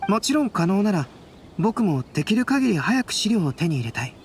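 Calm, analytical young artist. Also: male voice, high-pitched, moderate pace, slight background noise. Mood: calm, gentle. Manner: composed, explanatory, reasoned. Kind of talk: Japanese game cutscene acting.

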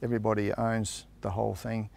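Gravelly, flat-voiced Australian farmer. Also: male voice, fairly low pitch. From South Australia. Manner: halting, unhurried, frequent fillers. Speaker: grain grower. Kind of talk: documentary interview answers. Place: outdoors on a farm by a ute.

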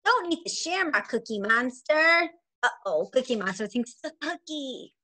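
A woman in her forties, a preschool teacher, reading a picture book aloud to young children in an expressive, sing-song manner. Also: high voice, normal speed. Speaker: preschool teacher and story time host. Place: small indoor room at home.

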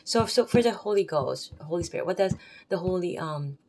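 A woman's voice: on the low side, expressive, fairly quick, a little mellow, a little hiss or hum. A woman with a Filipino accent giving an unscripted, rambling talk about her faith to her viewers, with chatty asides. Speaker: faith vlogger. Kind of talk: unscripted religious talk to camera.

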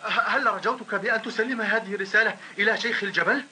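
A very fast, bright, high man's voice with nervous gratitude, a timid village postman. There is a faint hiss under the dubbed cartoon track.

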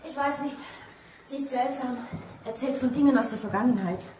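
Actress as high-voiced polite young housemaid. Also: female voice, normal speed, dark tone, slight background noise, lightly acted. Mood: polite, uneasy. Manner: courteous, earnest. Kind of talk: German radio play acting. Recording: roomy sound, faint background ambience.

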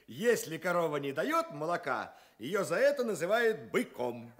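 Animated male children's radio host. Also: fairly high pitch, normal speed. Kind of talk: scripted Soviet radio show for schoolchildren, reading letters aloud.

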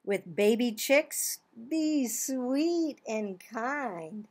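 A woman in her sixties reads a children's storybook aloud. Her voice sits a little high and she takes her time, very slowly. She phrases expressively, drawing out words and leaving pauses. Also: lively intonation, a little mellow. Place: small home office, indoors.

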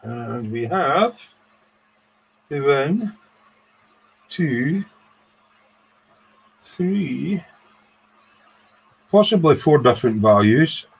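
Slow, thinking-aloud man at a workbench. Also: a little high, big pitch swings, vocal fry, husky, rough recording. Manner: unhurried, thinking aloud while counting parts. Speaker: electronics kit reviewer. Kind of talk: casual live build commentary. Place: workbench in a home workshop.

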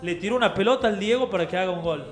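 A man's voice: high-pitched, very fast. A young man with an Argentine accent, hosting a radio show and joking with his co-hosts in a studio. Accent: Argentine Spanish. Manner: playful, boastful, mock Italian. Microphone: studio mic with headphones. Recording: roomy studio with music behind.

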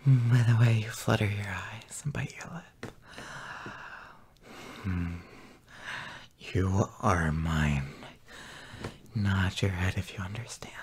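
A man playing a tender, possessive, gently dominant boyfriend in an intimate audio roleplay, often whispering in a soft, breathy murmur. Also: fairly low pitch. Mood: adoring, possessive. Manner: slow, soft, murmuring with pauses.

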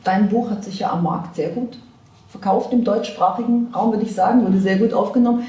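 Mellow-voiced middle-aged woman interviewer. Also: mid-range voice, recorded from a distance. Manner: measured, academic questions. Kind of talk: literary interview. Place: bright room with tall windows. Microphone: far camera mic. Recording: roomy, from afar.

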